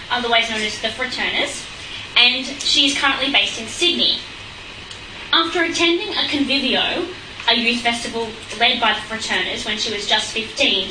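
A woman hosting a church event as MC, introducing the speaker in a friendly way with frequent ums. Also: fairly high pitch. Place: event hall with audience. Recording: echoey room, far mic, noisy.

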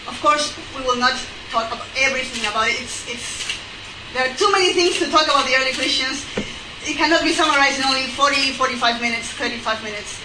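A Peruvian woman with a Spanish accent gives a talk to an audience. Her voice sits very high, and she speaks carefully, often restarting her phrases. She is heard from a distance in a roomy hall with steady background noise. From Peru. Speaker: guest speaker, church history lecturer. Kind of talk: public talk. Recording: echoey room, far mic, noisy.

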